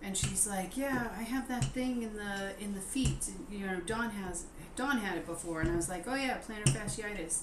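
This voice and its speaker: An American woman in her forties chatting casually as co-host, talking haltingly with fillers and poking fun at herself. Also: mid-range voice. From United States. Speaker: co-host of a live stream. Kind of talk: casual chat. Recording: echoey room with noise behind.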